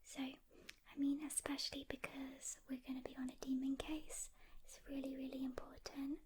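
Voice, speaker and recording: A woman speaking in a hushed, gentle ASMR whisper, unhurried, playing a calm demon hunter who talks directly to the listener. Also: high voice, noisy background. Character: calm experienced female demon hunter briefing a newcomer. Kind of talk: soft-spoken whispered ASMR roleplay.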